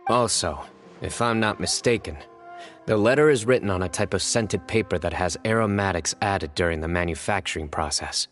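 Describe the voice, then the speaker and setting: A stern young male judge who reasons through clues calmly. His voice sits slightly low and steady. Faint game music plays underneath. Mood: deductive. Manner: measured, deductive, calm.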